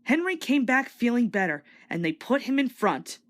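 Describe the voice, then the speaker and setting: A woman reading a children's story aloud as an expressive, scripted read-along narrator. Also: on the high side, normal speed.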